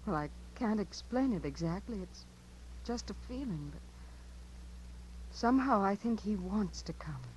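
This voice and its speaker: Woman playing earnest small-town girl, medium pitch, dreamy, faintly noisy old radio play. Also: lively intonation, unhurried, long pauses, close to an everyday voice. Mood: restless, earnest. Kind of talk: 1950s radio drama narration and dialogue.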